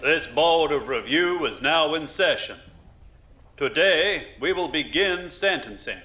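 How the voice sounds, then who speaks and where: Stern male tribunal judge, fairly high for a man, very measured, solemn, formal, sci-fi audio drama. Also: animated, bright, forceful tone, dull audio, slight background noise. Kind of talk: science fiction audio drama acting.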